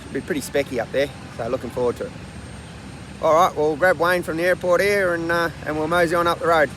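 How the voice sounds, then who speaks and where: A middle-aged Australian man with a croaky voice from a flu, chatting casually to camera in a laid-back, slangy way. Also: on the high side, fast talker. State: getting over a flu. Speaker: outdoor adventure vlogger. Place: outdoors and in a vehicle on the road. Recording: noisy outdoor and vehicle background.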